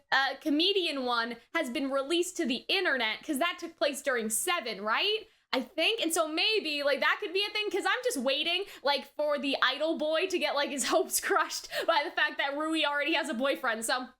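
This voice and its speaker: A woman hosting a reaction video, chatting casually and excitably, often exclaiming and peppering her talk with filler words and check-in questions. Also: sits high, moderate pace. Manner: frequent use of "like" and tag questions. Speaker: anime reaction video host.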